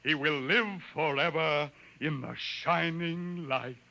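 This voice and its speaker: Solemn alien chief, piercingly clear. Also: male voice, a little high, pushed and bright, highs cut off, full character voice. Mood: solemn, proud. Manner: formal, measured, ceremonious. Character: solemn, proud alien chief of a potters' tribe, male. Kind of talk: 1950s radio drama acting.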